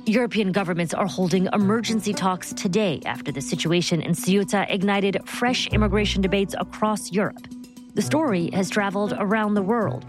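A woman hosting a news program, narrating in a clear, conversational storytelling style. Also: mid-range voice, fairly quick, over music. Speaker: program host. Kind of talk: scripted radio narration.

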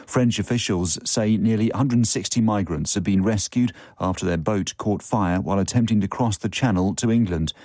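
Low, mellow male newsreader. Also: dry recording, clean studio sound. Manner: measured, formal delivery. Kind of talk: scripted news bulletin.

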